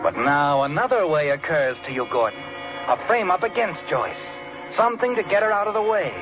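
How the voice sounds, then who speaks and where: A man's voice: fairly high pitch, animated, a little fast. An actor playing an ominous, all-knowing male narrator in a 1940s radio mystery, addressing a guilty man directly. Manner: sinister, knowing, second-person storytelling. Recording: muffled old radio broadcast, organ music.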